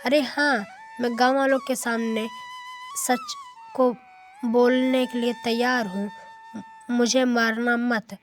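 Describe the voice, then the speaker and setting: A woman's voice: fairly high pitch, sing-song, slow pace, pauses often, faint music behind. A woman voicing a scheming, cowardly male village headman in a cartoon moral story. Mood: terrified pleading.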